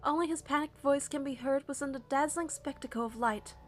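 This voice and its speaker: A woman narrator reading a first-person story from a script in an expressive, suspenseful, dramatic way. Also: high-pitched, fairly quick, faint music behind.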